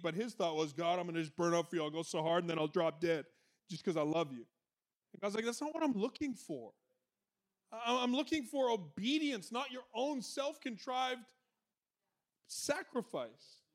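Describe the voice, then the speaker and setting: A man preaching a sermon with a loud voice, conversational and energetic, with playful asides, in a church. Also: high-pitched. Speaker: pastor.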